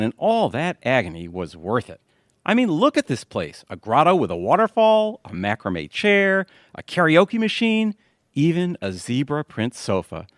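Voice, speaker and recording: An actor playing a good-natured, exasperated middle-aged husband in an audio theatre comedy, wisecracking and confiding. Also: male voice, medium pitch, no room sound, studio quality.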